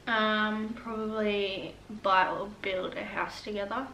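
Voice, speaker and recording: Bright-voiced young woman. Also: medium pitch, steady tone, takes it slowly. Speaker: vlogger co-host. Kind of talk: casual Q&A chat. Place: living room, on a couch indoors. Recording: echoey room, mic at a distance, faint hiss.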